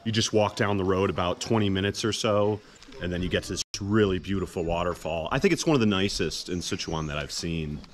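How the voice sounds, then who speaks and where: A man's voice: sits low, a little fast. An American man in his thirties chatting casually as the host of an outdoor travel vlog. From United States. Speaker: vlog host, hiking guide. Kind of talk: casual morning vlog chat. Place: outdoors at a rural guesthouse. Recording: faint outdoor background noise.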